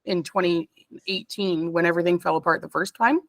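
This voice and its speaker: A woman's voice: lowish voice, fairly even intonation, a little fast, fairly bright tone, no room sound. A woman in her thirties from Canada, chatting casually as an interview guest, rambling and laughing often. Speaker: interview guest, stone fabricator. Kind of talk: casual chat.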